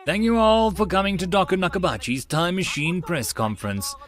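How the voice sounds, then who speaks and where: A man's voice: sits high, fairly quick, a bit bright. A man voicing a formal, upbeat press conference announcer with a projected delivery, in a visual novel reading.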